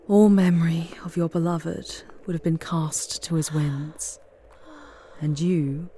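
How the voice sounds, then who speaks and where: A woman's voice: low voice, mellow, slight background noise. A woman playing a charming, sardonic demon guide in an ASMR audio roleplay, murmuring breathily with wry, formal phrasing.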